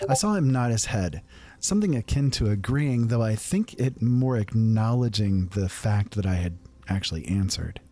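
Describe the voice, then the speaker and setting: A man telling a first-person fantasy story in a calm, unhurried, conversational way. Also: on the low side.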